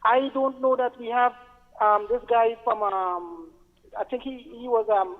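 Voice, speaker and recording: Halting Trinidadian male caller on phone. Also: very high voice, fairly even intonation. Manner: halting, stammering repetition of words. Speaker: radio show caller. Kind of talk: earnest opinion on a phone-in. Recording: narrow phone line with reverb.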